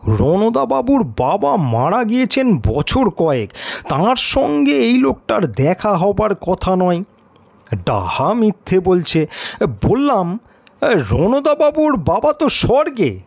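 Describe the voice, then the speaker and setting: A man's voice: sits high, sing-song, takes it slowly, gravelly, a little mellow, dry recording, heavily acted. An actor playing a timid, bewildered male writer who narrates his own tale, hesitant and questioning. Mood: puzzled. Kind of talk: first-person audio story narration with acted dialogue.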